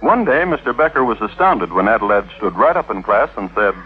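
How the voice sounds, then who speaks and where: A man's voice: fairly low pitch, sing-song, fairly quick. A man narrating a scripted story for 1950s radio in a wry, theatrical manner. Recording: very tinny vintage 1950s radio recording. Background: music.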